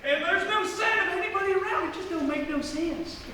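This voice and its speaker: An eager, slow-witted American country deputy in a comic radio play. His voice is very high and bright, full of folksy, stammering outbursts. It comes from a distant mic in a very echoey theatre. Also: full character voice. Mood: alarmed. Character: excitable, dim-witted rural deputy, eager and apologetic. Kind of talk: comedic live radio theatre acting. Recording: echoey live theatre, far mic, faint noise.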